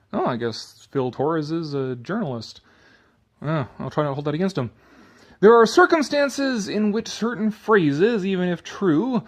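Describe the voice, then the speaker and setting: A man giving a dramatic reading with sarcastic, exasperated asides and casual swearing, shifting between declaiming and offhand muttering. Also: a little high. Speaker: commentator reading an article aloud.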